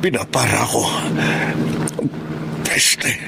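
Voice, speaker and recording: An actor playing an arrogant, hot-tempered criminal in a Filipino radio drama, muttering threats and boasts with a Filipino accent. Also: male voice, mid-range voice, lively intonation, takes it slowly, vocal fry, heavily acted. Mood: defiant. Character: menacing male drug dealer, arrogant and hot-tempered. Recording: loud car and siren noise behind.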